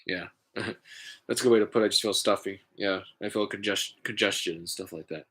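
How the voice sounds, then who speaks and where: A man with a stuffy, congested nose, talking in a halting, casual way with many fillers and restarts, vlogging from home. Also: lowish voice, a bit bright, husky. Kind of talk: casual rambling vlog.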